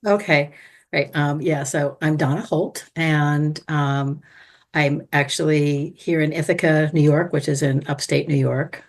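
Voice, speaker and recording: A woman's voice: sits low, steady tone, a little slow. A woman guest on a podcast, speaking in a thoughtful, meandering way and searching for words, over a remote call. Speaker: guest, astrology student. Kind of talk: conversational podcast interview.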